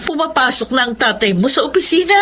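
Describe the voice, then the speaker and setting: A woman's voice: sits high, expressive, fast talker, pushed and bright, slight background noise. An actress playing a caring, self-sacrificing mother and wife in a Filipino radio drama, with a motherly manner. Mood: concerned, reassuring.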